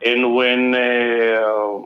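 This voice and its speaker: A man in his seventies with an Israeli accent, an author speaking haltingly as a guest on a phone interview, with many fillers and restarts. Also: medium pitch, little pitch movement. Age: 70s. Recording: phone line.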